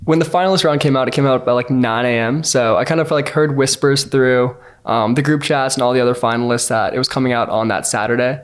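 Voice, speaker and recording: A man's voice: medium pitch, fast talker, hardly any pauses, clean tone. A young man around twenty from New Jersey, telling an upbeat personal story in a casual way. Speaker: scholarship student.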